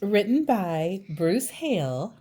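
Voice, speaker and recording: A woman reading a children's picture book aloud in an expressive, playful way, with chatty asides. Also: mid-range voice, slow pace, slight background noise. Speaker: storytime host and narrator.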